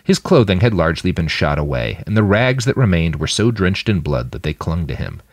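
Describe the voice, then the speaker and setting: A man with a very low voice narrates fiction in a dramatic audiobook style. His intonation swings widely, and the recording is close and dry. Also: fairly quick, no room sound. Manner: dramatic, expressive storytelling. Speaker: fiction podcast narrator and author.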